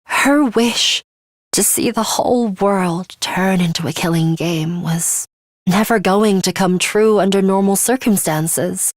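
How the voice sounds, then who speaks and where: Lady doing a cold bloodthirsty fighter girl for a game, talks slow n formal, kinda menacing, super dry recording. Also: mid-range voice, dry recording, natural voice. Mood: grave, measured. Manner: deliberate, formal and menacing, with theatrical flourishes. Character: cold, bloodthirsty young female fighter, terse and imperious. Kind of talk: English video game voice acting.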